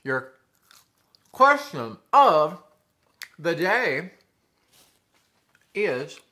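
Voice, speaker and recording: Southern guy, 30s, eating-show host; chatty, calls viewers y'all, bright forward tone. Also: a little high, sing-song, very slow. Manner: chatty, addresses viewers as y'all, chews between remarks. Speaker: mukbang vlogger. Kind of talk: casual eating show chat. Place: living room with sofa and window blinds. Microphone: camera in front at table distance.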